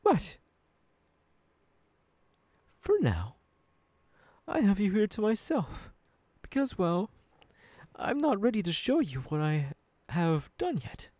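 A man's voice: high-pitched, huge pitch swings, darkish tone, no room sound, exaggerated voice. A man playing a menacing, mocking young villain boss in an ASMR roleplay, taunting and sometimes sinking into a whisper. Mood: sinister, threatening. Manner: taunting, drawn-out, dropping into whispers. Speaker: voice actor.

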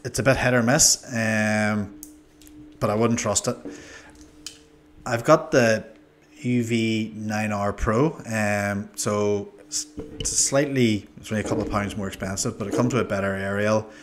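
A man in his forties with a Northern Irish accent giving a casual, low-key, hesitant product review into a desk microphone. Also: fairly even intonation, measured pace, slight background noise. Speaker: review channel host. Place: small indoor room. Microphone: desk microphone on a boom arm.

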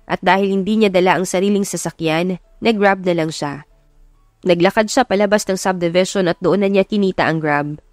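A woman's voice: fairly low pitch, brisk, darkish tone, no room sound, studio quality, faint music behind. A woman with a Filipino accent reading scripted, dramatic story narration as an audiobook narrator. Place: close, dry recording with music behind.

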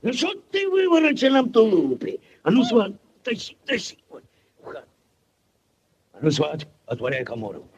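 A man's voice: high voice, wildly swooping pitch, normal speed, muffled recording, a little hiss or hum, heavily acted. An actor playing a bossy, blustering village headman full of exclamations, in 1940s radio style. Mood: excited, bossy. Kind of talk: 1940s Soviet radio acting.

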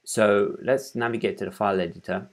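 A man in his thirties giving an informal step-by-step software tutorial, haltingly, thinking aloud as he works. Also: lowish voice, fairly even intonation. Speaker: tutorial presenter. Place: small room at home, indoors.

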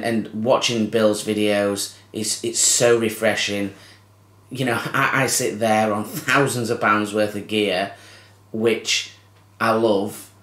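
A man, hosting a fishing channel and giving a heartfelt tribute to camera, halting with many restarts and ums. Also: on the low side, fairly bright tone, reverberant. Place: small indoor room with curtains. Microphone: camera close to face.

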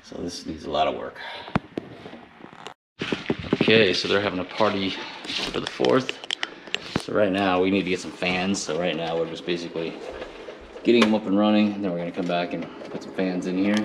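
Low-pitched man, casual walkthrough, noisy audio. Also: moderate pace, long pauses. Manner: informal, off-the-cuff narration. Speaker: AV installer hosting a job-site video. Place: large open living room with high ceiling, indoors. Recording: clearly noisy camera audio, clicks.

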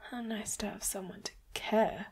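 A woman playing a sweet, secretive boss who is a hidden leopard hybrid in a roleplay audio drama, soft and breathy, often slipping into whispers. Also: mid-range voice, steady tone, moderate pace. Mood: affectionate. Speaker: voice actor.

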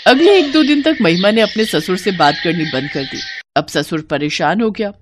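A woman's voice: medium pitch, lively intonation, moderate pace, noisy background. A woman narrating a scripted cartoon story in a dramatic storytelling style.

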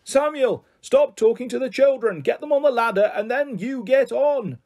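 Combative old grandmother played by a man for a storybook: shrill pitch, husky, commanding. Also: normal speed, a little mellow. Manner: scolding, commanding.

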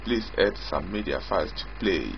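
A man giving an informal screen-recorded software demo as its developer, in a halting way, often repeating and rephrasing. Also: sits low, animated, a little hiss or hum. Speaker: software developer demonstrating own app. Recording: dull lo-fi mic with prominent music.

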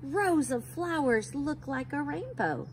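A woman in her forties reads a children's picture book aloud on an outdoor patio. Her delivery is unhurried and very animated, rising and falling in a sing-song way as she sounds out letters and gasps for effect. A faint hum of outdoor air sits under her voice. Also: sits high. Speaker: storytime reader for children. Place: backyard patio outdoors by a wooden fence.

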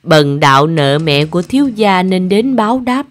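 A woman voicing a stern, bearded male Taoist priest who admonishes solemnly in an animated folk tale. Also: on the low side, moderate pace, no room sound, natural voice. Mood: solemn warning.

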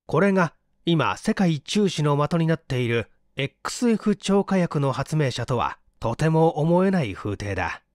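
Animated male audiobook narrator. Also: a little high, normal speed, few pauses, no room sound, studio quality. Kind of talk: expressive scripted story narration.

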